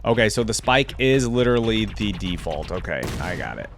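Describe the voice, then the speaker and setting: A man's voice: fairly low pitch, sing-song, moderate pace, vocal fry, a little hiss or hum. A man giving casual, off-the-cuff reaction commentary, thinking aloud in a chatty way with informal slang. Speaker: host of a reaction video.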